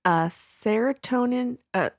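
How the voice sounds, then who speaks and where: A woman's voice: on the high side, slow, dry recording. A young woman co-hosting a podcast on a studio mic, talking animatedly and laughing often. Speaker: podcast co-host. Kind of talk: casual chat.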